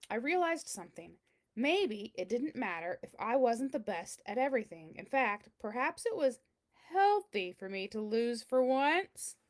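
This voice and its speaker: Woman voicing a theatrical, high-pitched hunk of cheddar. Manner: theatrical, emphatic, exclamatory. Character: boastful big cheddar cheese learning humility, dramatic storyteller. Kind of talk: first-person picture-book read-aloud.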